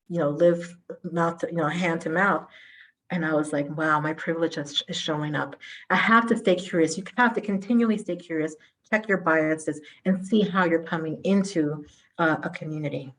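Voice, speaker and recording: A middle-aged woman from Colombia, a native Spanish speaker, giving a conference keynote with animated, self-deprecating asides. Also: lowish voice, rough recording.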